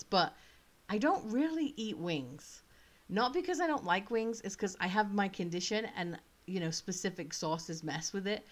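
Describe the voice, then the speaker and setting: A woman in her thirties with a slight British accent, chatting casually and thinking aloud as she plays an online choice game. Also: mid-range voice. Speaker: author playing a choice game. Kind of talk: casual commentary. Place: small room indoors.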